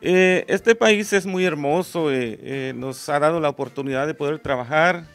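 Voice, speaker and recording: Guatemalan male guest, 40s, gravelly and a bit high, hesitant; studio handheld mic. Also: normal speed, a bit bright, soft background music. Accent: Guatemalan Spanish. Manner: hesitant, many "eh" fillers. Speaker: guest singer-songwriter. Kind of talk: interview answers. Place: small TV studio, indoors. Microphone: handheld mic held by host.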